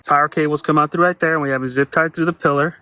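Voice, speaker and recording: A man's voice: on the high side, fairly even intonation, racing, pushed and bright, dry recording. A middle-aged man chatting informally and crudely as he works with his hands. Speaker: host of an off-road vehicle install channel. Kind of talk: casual hands-on chat while working. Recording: music playing behind the voice. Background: music.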